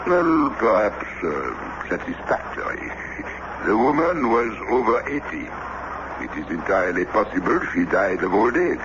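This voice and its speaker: An actor in a radio drama playing a wry, unflappable French police commissioner with a deliberate, officious delivery. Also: male voice, fairly low pitch, sing-song. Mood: wry, unbothered. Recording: dull old radio with clear noise.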